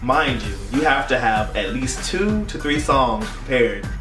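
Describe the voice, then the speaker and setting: A young American man telling a story in casual vlog chat, animated and acting out the dialogue as he goes. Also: medium pitch, measured pace. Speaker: vlogger. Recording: roomy echo, distant mic, faint music.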